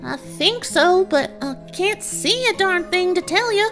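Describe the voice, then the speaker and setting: A woman's voice: very high-pitched, lively intonation, unhurried, fairly bright tone, faint music behind, voice pushed up, exaggerated voice. A woman voicing a nervous, spunky young farm filly with a rural drawl, played from a pony fanfic reading.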